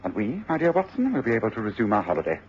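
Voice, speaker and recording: An assured, precise detective for radio drama; animated intonation, dulled old recording. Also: male voice, on the low side, rapid-fire, muffled recording, slight background noise. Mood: assured, satisfied. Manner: authoritative, didactic, precise.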